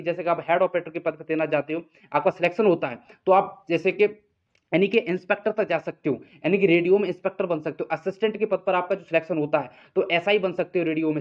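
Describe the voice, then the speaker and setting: High-pitched man, dull recording. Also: fairly even intonation, fast. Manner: repetitive, explanatory, frequent check-in tags. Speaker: exam coaching teacher and channel host. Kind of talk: informal exam update addressed to students.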